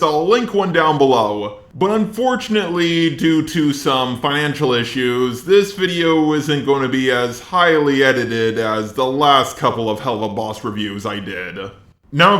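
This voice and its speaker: A man's voice: a little high, fairly bright tone. A man giving informal review commentary with wry, joking asides. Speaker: video reviewer. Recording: roomy, echoey sound.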